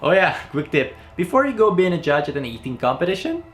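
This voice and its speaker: Joking young Hungarian man, lively pitch. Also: a little fast. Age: young adult. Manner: playful, sarcastic asides. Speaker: guest host. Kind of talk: joking commentary.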